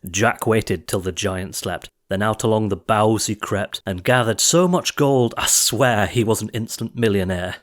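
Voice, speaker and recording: A man's voice: lowish voice, measured pace, hardly any pauses. A man reading comic verse as an audiobook narrator, with a theatrical, rhythmic delivery.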